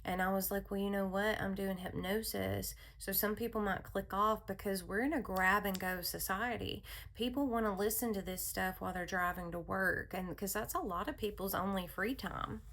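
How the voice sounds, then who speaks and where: A woman hosting a spiritual wellness talk, speaking in a calm, soothing voice with a rambling manner and frequent laughs. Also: medium pitch, moderate pace, hardly any pauses. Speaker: wellness channel host. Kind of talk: casual spiritual talk to viewers.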